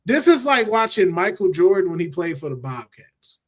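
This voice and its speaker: Male podcast host, slightly high husky voice, animated and emphatic, casual talk about pop culture. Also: moderate pace.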